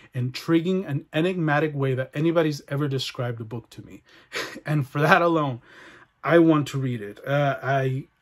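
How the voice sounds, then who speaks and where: A young man from Mexico, a book vlogger chatting casually and warmly through viewer comments in his room, laughing now and then. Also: medium pitch. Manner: chatty, friendly, laughs often. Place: bedroom with bookshelves and neon light, indoors.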